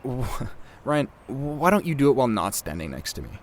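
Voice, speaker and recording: A man's voice: medium pitch, big pitch swings, brisk, a little hiss or hum, close to an everyday voice. A man playing a fussy, exasperated podcast sound technician in naturalistic audio drama dialogue, informal and nagging.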